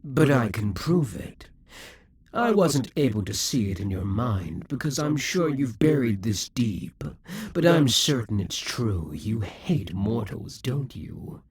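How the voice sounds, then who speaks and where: Man doing a possessive demon king, scheming, slow delivery, husky and breathy, audio roleplay. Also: medium pitch, sing-song, no echo, exaggerated voice. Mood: sly. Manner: brooding theatrical monologue. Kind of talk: audio roleplay addressing the listener.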